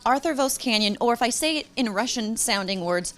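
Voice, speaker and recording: A woman's voice: a little high, expressive, a little fast, a bit bright. A woman in her thirties hosting an on-camera interview, with a bubbly and chatty manner. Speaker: poker interviewer. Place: casino floor.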